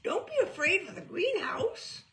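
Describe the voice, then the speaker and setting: A woman's voice: very high-pitched, very animated, measured pace, fairly bright tone, a little hiss or hum, voice pushed up, exaggerated voice. A woman voicing a secretive pet parrot that squawks between its pronouncements. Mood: squawky.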